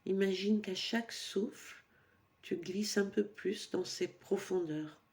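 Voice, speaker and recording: A woman leading a guided yoga nidra meditation for sleep as an instructor, with a calm, soothing, instructional delivery and pauses. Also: mid-range voice.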